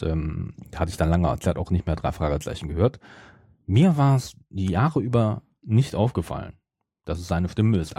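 Deep-voiced, lively man chatting. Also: slightly rough, dry recording, studio quality. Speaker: podcast host. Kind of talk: casual chat.

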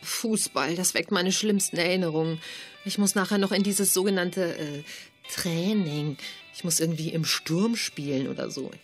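A woman playing a brisk, weary secret agency colleague in a scripted audio drama, speaking in a dry, matter-of-fact way. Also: lowish voice, soft background music, lightly acted. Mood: grumbling.

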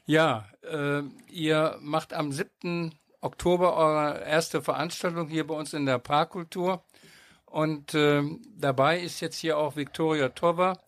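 A man's voice: a little high, fairly even intonation, unhurried, no room sound. A man with a German accent hosting a casual radio interview, joking and hesitating with many uhs. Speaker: radio host.

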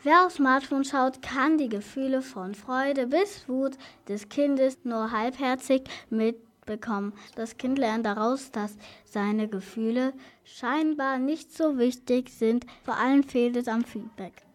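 A high female voice reads an explainer for youth radio. Her delivery is very slow and scripted.

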